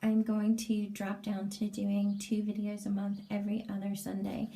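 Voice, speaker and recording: A woman with a raspy, strained throat, giving a hesitant, casual vlog update with many pauses and fillers, recorded indoors in a small bathroom. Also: medium pitch, monotone, dark tone. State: lingering cough, strained throat. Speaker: vlogger.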